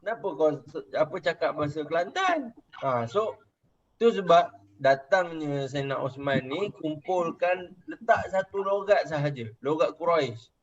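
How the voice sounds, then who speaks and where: A man's voice: on the high side, expressive, moderate pace. A young adult man with a Malaysian Malay accent teaching children in an online religious class, patiently repeating questions and checking whether they understand. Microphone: video call. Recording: muffled lo-fi video call audio.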